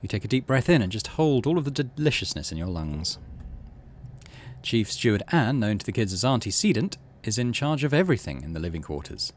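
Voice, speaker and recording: A man's voice: on the low side, very animated, brisk, slight background noise. A man doing casual game commentary, thinking aloud and reading game text out as the host of a gaming channel.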